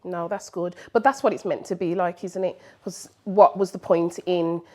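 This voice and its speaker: A woman's voice: on the low side, steady tone, moderate pace, warm tone. Chatty woman interviewing on radio. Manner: friendly, chatty, laughs while talking. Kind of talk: casual interview chat. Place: radio studio.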